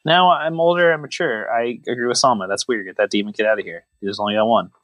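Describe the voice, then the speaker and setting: A man's voice: medium pitch, fast talker, a bit bright, dry recording. A man co-hosting a podcast, chatting casually about films in a wry, mock-serious way. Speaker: podcast host. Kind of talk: casual joking film chat.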